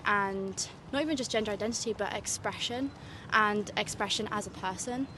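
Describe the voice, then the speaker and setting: A woman with a mid-pitched voice answers questions outdoors, earnest and quick with lots of fillers. Traffic noise is clearly audible behind her. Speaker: interviewee on the street. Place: city street outdoors.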